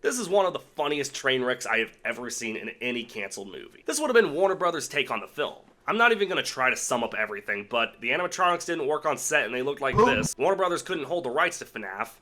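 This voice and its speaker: A man's voice: mid-range voice, very animated, quick pace, hardly any pauses, bright, forceful tone, slight background noise. A man delivering scripted countdown commentary as a video host, with a sarcastic, irreverent, casually profane manner.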